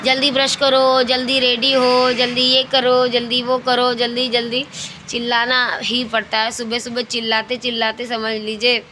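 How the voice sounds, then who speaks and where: A woman's voice: a little high, monotone, moderate pace, bright, forceful tone. A middle-aged woman from India chatting casually as a lifestyle vlogger, repeating phrases and searching for words as she goes. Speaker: lifestyle vlogger, homemaker and mother. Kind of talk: casual chat about daily routine. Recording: clear background ambient and vehicle noise.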